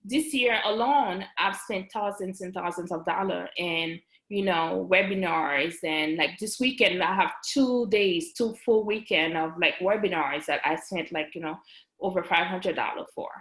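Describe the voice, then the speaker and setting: A woman's voice: on the low side, unhurried, a bit bright. Woman, 30s, Haitian accent, chatty podcast guest, echoey video-call sound. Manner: chatty, laughs often, many fillers and restarts. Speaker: guest, registered nurse and business owner. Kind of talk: casual interview chat. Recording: roomy echo.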